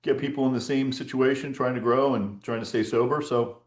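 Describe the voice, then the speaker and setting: A man's voice: mid-range voice, fairly even intonation, brisk. A man hosting a casual sobriety support call over video, encouraging and emphatic, repeating his points. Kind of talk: casual group chat. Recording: roomy echo.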